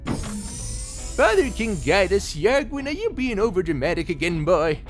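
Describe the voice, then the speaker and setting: An actor playing a grumbling old space pirate veteran in an audio drama, scolding and theatrical. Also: male voice, high voice, big pitch swings, measured pace, soft background music. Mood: crotchety, scolding.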